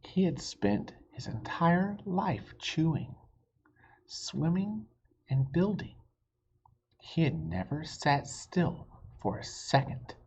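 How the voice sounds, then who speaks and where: Slow, very animated man reading a storybook, slightly husky. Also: a little high, dull audio. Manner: asks the listeners questions, explains words simply. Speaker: children's storybook reader. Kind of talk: expressive read-aloud with asides to young listeners.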